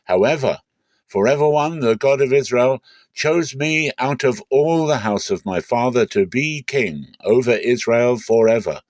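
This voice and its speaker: A man voicing an aged king who solemnly addresses his people, loud, stately and declamatory, in a dramatic scripture reading. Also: mid-range voice, animated, unhurried, dry recording, voice pushed up, close to an everyday voice. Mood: proclaiming, stately.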